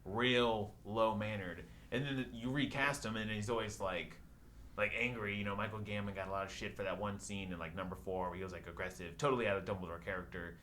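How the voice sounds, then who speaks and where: A man's voice: on the low side, steady tone, brisk, a bit bright. A man co-hosting a casual podcast, bantering about films over some background noise. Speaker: podcast co-host. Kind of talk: casual film review banter.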